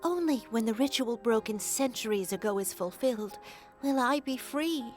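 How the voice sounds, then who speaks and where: A woman plays a ghostly young girl in a video game. Her voice is high and solemn, with measured, sorrowful gravity, over faint music. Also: expressive, lightly acted. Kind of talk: video game cutscene acting.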